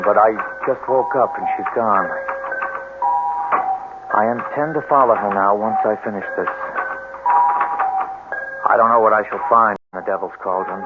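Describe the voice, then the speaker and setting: An actor in an old-time radio drama playing a devoted, headstrong, tormented lover in an earnest, melodramatic manner. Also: male voice, medium pitch, gravelly. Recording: tinny old radio broadcast.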